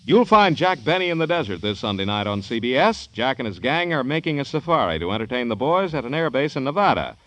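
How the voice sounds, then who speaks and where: A man's voice: medium pitch, big pitch swings, fast talker, hardly any pauses, a bit bright, dry recording. A man announcing in scripted old-time network radio style, on an old recording.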